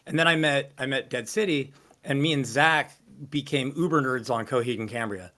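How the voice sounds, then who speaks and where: Low-voiced man telling stories on a call. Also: moderate pace, sharp tone. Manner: rambling, lots of fillers and restarts, swears casually. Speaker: singer interviewee. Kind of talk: casual interview storytelling. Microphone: video call.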